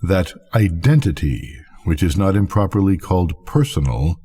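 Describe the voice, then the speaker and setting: An older man reading a literary story aloud as a bedtime storyteller, in measured, deliberate phrases with pauses. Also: low-pitched, lively intonation, mellow, breathy edge. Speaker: storyteller, reader.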